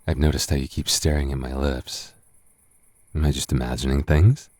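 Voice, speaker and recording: Very deep-voiced tipsy roommate in love played by a man. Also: little pitch movement, moderate pace, a little mellow, dry recording, studio quality. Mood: tender, flirty.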